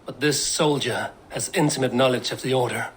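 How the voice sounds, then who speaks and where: An actor playing a grim Viking raider who speaks gruffly, bluntly and sternly. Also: male voice, medium pitch, fairly quick, a bit bright, slight background noise, close to an everyday voice. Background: music.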